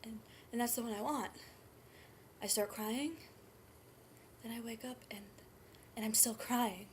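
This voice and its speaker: A woman performing a dramatic stage monologue as a grief-stricken, guilt-ridden nineteen-year-old mother, speaking haltingly and often in a breathy hush. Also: fairly high pitch, steady tone, lightly acted. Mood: wistful, pained. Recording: noisy amateur room recording.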